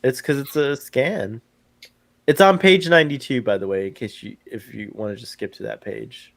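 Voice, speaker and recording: A man hosting a live stream, chatting casually in an excitable, rambling way and repeating himself. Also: medium pitch, normal speed, creaky, no room sound. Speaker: stream host.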